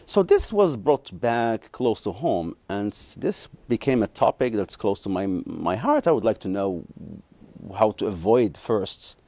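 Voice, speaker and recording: A man from Morocco, a neuroscience professor in his thirties, answering interview questions haltingly with many ums and restarts. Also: fairly low pitch, very animated, warm tone, dry recording.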